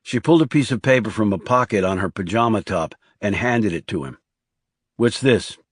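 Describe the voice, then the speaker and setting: A male audiobook narrator reads a thriller from a script. His pitch is a little low. The recording is dry, with no audible room. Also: lively intonation, brisk, no echo.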